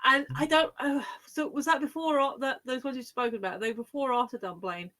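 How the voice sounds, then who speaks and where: A woman's voice: sits high, a little fast, pushed and bright. A British woman chatting casually as a panelist on a podcast. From Britain. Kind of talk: casual chat.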